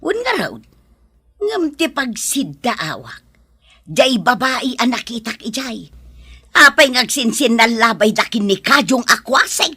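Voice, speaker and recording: A woman's voice: fairly high pitch, big pitch swings, a little slow, pushed and bright, husky, dry recording, studio quality, full character voice. A woman acting in an Ilocano audio drama as a nosy, gossipy villager, speaking in an emphatic, insistent way.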